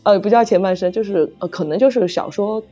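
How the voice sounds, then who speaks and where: A middle-aged woman author chatting casually about writing, halting and repeating phrases as she searches for words. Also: mid-range voice, dark tone. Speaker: novel author. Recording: dull lo-fi audio, music underneath. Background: background music.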